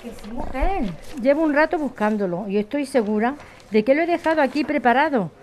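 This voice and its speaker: A woman's voice: high-pitched, lively intonation, brisk, darkish tone, a little hiss or hum. A middle-aged woman with a Spanish accent acting a worried, firm mother who runs a bar in an audio drama. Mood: stern, tense. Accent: Spain.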